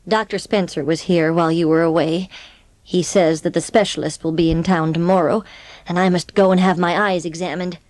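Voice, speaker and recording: A woman's voice: lowish voice, fairly even intonation, a little fast, a little mellow, no room sound, close to an everyday voice. A woman playing an older, stern but loving farm spinster in an audiobook drama, plain-spoken and heartfelt. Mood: bleak, worried.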